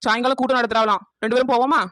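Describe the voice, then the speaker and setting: Woman as a fast-talking, bossy village busybody. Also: fairly high pitch, steady tone, background noise, natural voice. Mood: gossipy, persuasive. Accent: southern Tamil Nadu. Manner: brisk, confrontational, teasing. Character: bossy, nosy, sarcastic young village wife. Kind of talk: cartoon village comedy dialogue.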